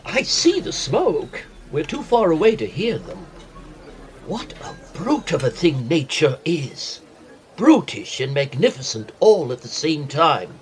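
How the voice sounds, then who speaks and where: An actor playing a well-bred, wry gentleman traveller in a period audio drama, speaking formally and articulately. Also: a little high, very animated, unhurried. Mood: teasing.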